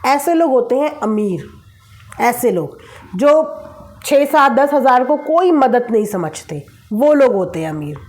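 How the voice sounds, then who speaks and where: High-pitched woman, sarcastic commentary. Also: expressive, a little slow, darkish tone. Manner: sarcastic, with rhetorical questions and emphatic repetition. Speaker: vlogger commenting on online creator gossip. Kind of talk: opinionated commentary addressed to viewers.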